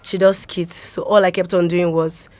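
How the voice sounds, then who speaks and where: A young woman with a Nigerian accent speaks casually in an interview. Her voice sits a little low, and she tells her stories in an animated way. The sound is dry, with little room around it. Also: moderate pace, no echo. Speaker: actress, interview guest.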